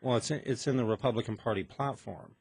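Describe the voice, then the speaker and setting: A man with a husky voice in a dry, close sound argues politics on the radio. He circles back over his phrases and restarts them as he debates off the cuff. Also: medium pitch, fast, dry recording. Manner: hesitant, repeats and restarts phrases. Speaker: political guest on a radio call-in show.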